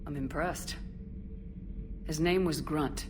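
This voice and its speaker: A woman's voice: deep voice, takes it slowly, noisy background. A woman voicing a principled, composed military commander in a video game, direct and plain-spoken. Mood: curious, wry. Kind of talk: cinematic video game acting. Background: music.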